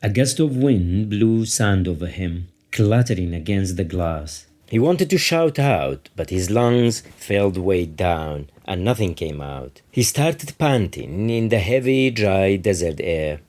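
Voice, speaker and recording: Man reading his novel out loud, really slow, lowish voice. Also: expressive. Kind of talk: literary reading, scripted narration.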